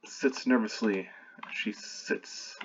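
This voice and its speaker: A man's voice: on the low side, steady tone, slow pace, dull audio, a little hiss or hum. A man reading his own screenplay aloud while revising it, stopping often to correct himself and add wry asides.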